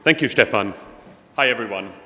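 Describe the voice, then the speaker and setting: A man's voice: lowish voice, lively intonation, moderate pace, crowd noise. A middle-aged man giving a conversational, self-deprecating conference talk on stage. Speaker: conference speaker. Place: large hall. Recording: echoey hall, faint room noise.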